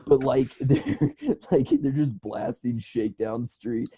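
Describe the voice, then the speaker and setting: A man's voice: mid-range voice, animated, slow pace, background noise. A man co-hosting a casual comedy podcast, rambling along in sweary slang. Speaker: podcast host.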